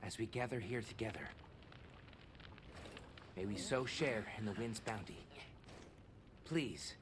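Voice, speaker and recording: A man's voice: lowish voice, slow pace, background noise. A man playing a sincere, hospitable villager with a formal way of talking in a video game. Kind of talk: video game cutscene acting. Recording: music behind. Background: music.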